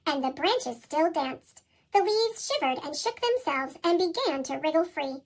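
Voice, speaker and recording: Female storybook narrator, chipmunk effect, extremely high pitch, very lively and expressive read-aloud. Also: rough recording.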